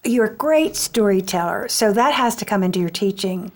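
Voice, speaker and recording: A woman's voice: medium pitch, animated, moderate pace, a little mellow. A woman hosting an interview with a poet, introducing him and asking him questions with admiration. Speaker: radio host.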